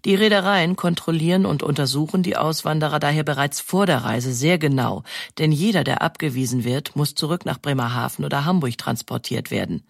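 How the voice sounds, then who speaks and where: A man's voice: fairly high pitch, brisk, vocal fry, no echo. Dry, close male documentary narrator. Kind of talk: scripted narration.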